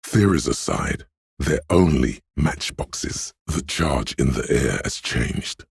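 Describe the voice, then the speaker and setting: Casting: a brooding inner-voice narrator in a detective game. Extremely low, heavily rasped male voice, deliberate and intimate. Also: expressive, no echo, studio quality, heavily acted. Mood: brooding, intent. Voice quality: very deep, gravelly, husky. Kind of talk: dramatic second-person game narration.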